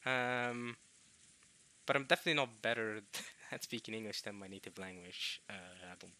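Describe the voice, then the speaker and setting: A young man from Norway, chatting casually to viewers in a halting way, restarting words often with chuckling self-deprecation. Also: medium pitch, sharp tone. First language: Norwegian. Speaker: visual novel let's player.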